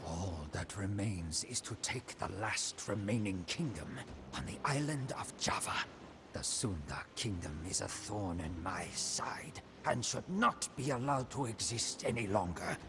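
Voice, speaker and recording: Slow, dramatic, scheming old statesman. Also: fairly low pitch, animated, background noise. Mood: brooding, determined. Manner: solemn, theatrical, deliberate, with hushed line endings. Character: ambitious, scheming old Majapahit prime minister recounting his life. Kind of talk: dramatic storybook campaign narration.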